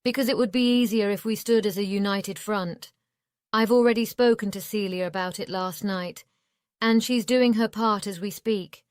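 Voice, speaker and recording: A woman's voice: medium pitch, fairly even intonation, moderate pace, clean tone, no room sound, clean studio sound, close to an everyday voice. A woman playing a determined young female oracle in a fantasy audiobook, assertive and earnest. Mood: determined, conciliatory. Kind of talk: audiobook drama acting.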